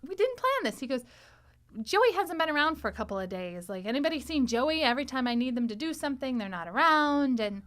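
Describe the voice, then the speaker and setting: Female podcast host, higher-pitched voice, animated and chatty in casual group talk. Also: a little fast. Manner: animated, chatty, often echoing agreement.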